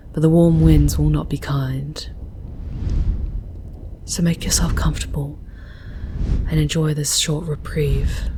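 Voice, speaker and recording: A woman playing a charming, teasing demon guide in an ASMR roleplay, speaking intimately in formal, old-fashioned phrases with a breathy, often whispery voice. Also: sits low, noisy background. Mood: tender, reassuring. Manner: slow, formal, old-fashioned storybook diction.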